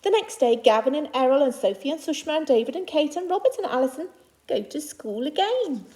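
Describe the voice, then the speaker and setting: A woman's voice: high voice, lively intonation, brisk, dark tone. A woman teacher reading a children's picture book aloud to young pupils, phrasing it simply and adding reassuring asides in her own words.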